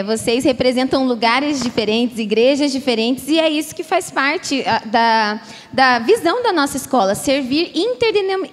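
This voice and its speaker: A woman moderating a panel for a missionary school, explaining its training to an audience in a teacherly way. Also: high-pitched. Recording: echoey hall sound.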